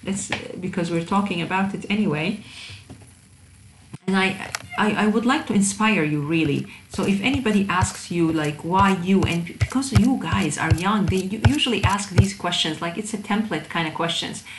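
Female lecturer, mid-range voice, conversational and halting, speaking to students in a roomy-sounding space. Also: medium pitch. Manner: hesitant, repetitive, addresses students directly. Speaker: university instructor of digital marketing. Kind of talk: informal online lecture over slides. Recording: roomy echo, faint background noise.

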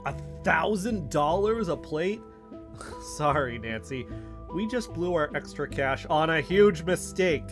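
An actor plays an anxious amateur investigator in a fiction podcast. The delivery is halting and emotional, with wide swings of pitch and a slow overall pace. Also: expressive, over music. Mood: subdued. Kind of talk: audio fiction podcast acting.

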